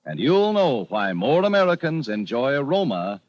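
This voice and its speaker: A man's voice: mid-range voice, very animated, normal speed, dry recording, dull audio. A man reading a 1940s radio advertisement as a polished, emphatic announcer. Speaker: commercial announcer.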